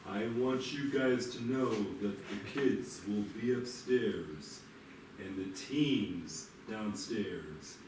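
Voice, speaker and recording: Man reading slow-talking party-host parent. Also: fairly low pitch. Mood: matter-of-fact. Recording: echoey room, distant mic, faint noise.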